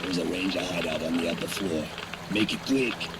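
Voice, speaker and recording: Deep-voiced, gruff wasteland smuggler. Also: male voice, normal speed, heavy background noise. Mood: brisk, sardonic. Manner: sardonic, blunt, bossy. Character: gruff, cynical, foul-mouthed male smuggler guide in a post-apocalyptic wasteland. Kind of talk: dramatic video game acting. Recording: loud music bed under voice.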